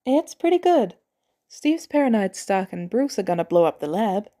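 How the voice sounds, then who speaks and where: Storybook role: wry, unbothered woman, acted by a female reader, mellow tone, dry understated delivery. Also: mid-range voice, very animated, normal speed, lightly acted. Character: cool, wry, easygoing woman with dry humour.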